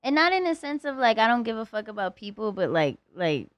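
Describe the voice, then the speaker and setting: A young adult woman talking as a podcast guest in casual chat. She is blunt and profane, fills her talk with "like", and jumps into lively voiced quotes. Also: fairly high pitch, fairly quick, no echo. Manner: blunt and profane, heavy use of "like"; animated, slips into voiced quotes.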